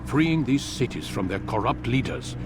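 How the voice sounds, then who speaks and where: An actor playing a calm, cryptic older male master who speaks in a measured, lecturing way. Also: mid-range voice, background noise, close to an everyday voice.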